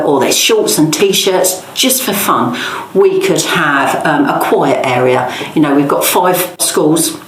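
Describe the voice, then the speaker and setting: Low-voiced, emphatic middle-aged woman, roomy sound. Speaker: local campaigner and interviewee. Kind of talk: interview answers, conversational.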